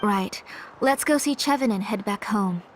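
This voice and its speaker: A woman voicing a caring, gently fussing mother in a video game, attentive in manner. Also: medium pitch, unhurried, noisy background, natural voice. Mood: courteous.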